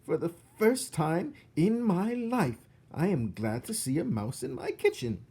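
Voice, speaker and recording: A man voicing an excitable, jumpy yet jolly female castle cook from a children's storybook, in an exclamatory way. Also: a little high, a little slow, husky, voice pushed up, exaggerated voice. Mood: gleeful. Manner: exclamatory, self-muttering, giggling "hoo-hee".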